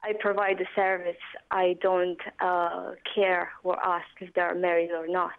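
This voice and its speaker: A woman's voice: on the low side, completely flat delivery, a little slow, clean tone. Romanian lady calling in on a tinny phone, blunt short answers. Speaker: caller. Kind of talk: phone-in interview. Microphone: telephone.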